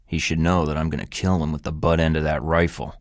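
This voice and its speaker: Deep low game spy agent, sardonic, dry wisecracks, tough, close dry studio sound. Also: male voice, little pitch movement, fast, no echo. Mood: menacing. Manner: dry, sarcastic quips with flashes of menace. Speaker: video game character. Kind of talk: video game voice acting. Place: recording studio.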